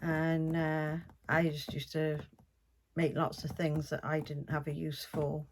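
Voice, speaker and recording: A woman's voice: sits low, completely flat delivery, unhurried, slight background noise. An older woman with a South Welsh accent, hosting a podcast in a casual, meandering, homely chat. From South Wales. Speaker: podcast host.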